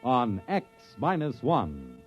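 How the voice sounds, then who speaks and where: Animated male vintage radio announcer. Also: medium pitch, music in the background. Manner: measured, instructive. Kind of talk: 1950s scripted radio announcing. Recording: dull, dry vintage radio recording.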